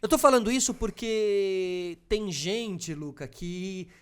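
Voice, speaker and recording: High-voiced man, eager podcast host. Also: a bit bright, smooth. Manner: enthusiastic, quick to agree, music-fan talk. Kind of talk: casual interview chat.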